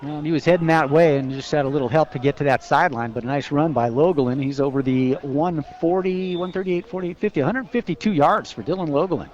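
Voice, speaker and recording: Muffled lo-fi radio guy, pretty high voice, chatty football analysis and stats. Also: fast, hardly any pauses, darkish tone. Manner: conversational, analytical. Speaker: color commentator on radio. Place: outdoor stadium, live radio broadcast. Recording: dull lo-fi radio broadcast sound.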